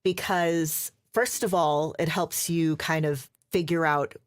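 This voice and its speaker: A woman's voice: low-pitched, steady tone, slow, no echo, clean studio sound. A woman audiobook narrator chatting thoughtfully in a casual panel, with small hesitations.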